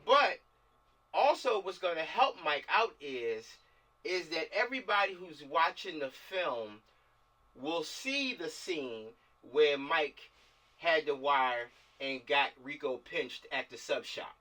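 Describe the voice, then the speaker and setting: A man's voice: fairly high pitch, animated, slow, bright, forceful tone. A man speaking casually and emphatically on a video call, directing a group discussion with slang and repeated words for stress. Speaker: film director leading a table read. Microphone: video call microphone. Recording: distant video-call audio.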